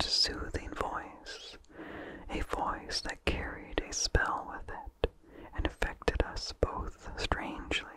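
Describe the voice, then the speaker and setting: A person reading a vintage horror story as ASMR in a soft, hushed, deliberate whisper with no voice behind it. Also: low-quality recording. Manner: slow, hushed, deliberate delivery. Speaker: narrator.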